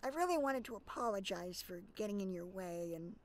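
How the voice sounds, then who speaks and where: A woman's voice: medium pitch, expressive, fairly quick, lightly acted. A woman voicing an earnest, naive teenage boy fan in a comedic anime parody, chatty and easily flustered. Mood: apologetic.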